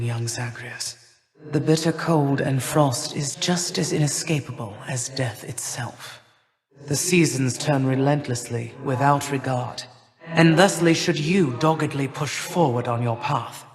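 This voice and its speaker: A woman playing an imperious, cold older goddess of winter and harvest in a video game, with stately, formal, measured delivery. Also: very deep voice. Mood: grave, imperious. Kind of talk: theatrical video game character lines. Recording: long reverberant hall echo.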